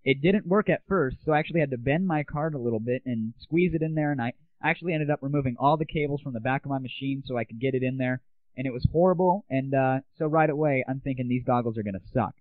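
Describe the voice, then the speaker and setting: A man's voice: mid-range voice, fast talker, no room sound. Male host, brash and crude, casual monologue, dry, tinny lo-fi.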